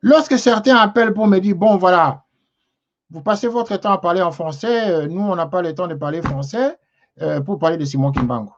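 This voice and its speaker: A man hosts a television talk show, reading and answering viewer messages. He talks haltingly, with a slightly high voice, and pauses often. The broadcast sound is dull and lo-fi. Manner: hesitant, many fillers and restarts.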